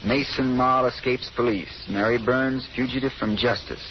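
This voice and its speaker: A man's voice: mid-range voice, fairly even intonation, close to an everyday voice. Man playing a steadfast sweetheart, muffled radio. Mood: earnest, urging. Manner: earnest, measured, reassuring. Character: steadfast, devoted gentleman sweetheart, calm and brave. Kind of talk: 1930s radio drama acting. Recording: muffled, noisy old radio recording.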